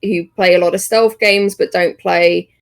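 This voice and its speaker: A woman co-hosting a podcast, chatting casually about games in a hesitant, halting way. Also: lowish voice, steady tone, gravelly. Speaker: podcast co-host.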